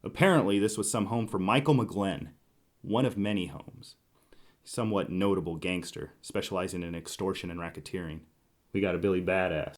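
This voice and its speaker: Man acting a low-voiced hardboiled detective. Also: a little fast, natural voice. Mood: wry, guarded. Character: hardboiled male 1920s private detective, wry and brooding. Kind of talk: scripted noir narration and radio drama acting.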